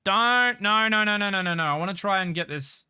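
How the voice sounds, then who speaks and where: A woman's voice: mid-range voice, lively intonation, a little fast, sharp tone, clear, resonant tone. A woman doing casual, profane livestream commentary on a game, repeating herself and narrating her own moves. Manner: profane, repetitive, self-narrating. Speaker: game streamer.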